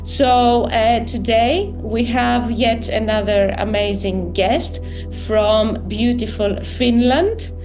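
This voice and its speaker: A woman's voice: on the high side, slow pace, music in the background, low-quality recording. A woman hosting an interview podcast, speaking carefully with frequent hesitations and fillers. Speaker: podcast host.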